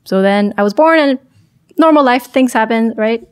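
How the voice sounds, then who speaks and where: A young woman from Chile, a startup founder speaking as a podcast guest in a small studio on a boom mic, talking thoughtfully with frequent restarts and self-corrections. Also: normal speed, clean tone. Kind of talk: podcast interview.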